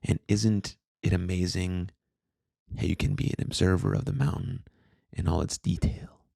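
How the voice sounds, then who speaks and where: A man guiding a meditation in a calm, soothing, unhurried way, reading slowly with long pauses between short phrases. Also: deep voice, gravelly, dry recording, clean studio sound. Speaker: meditation guide, podcast host. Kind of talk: guided meditation, slow scripted narration.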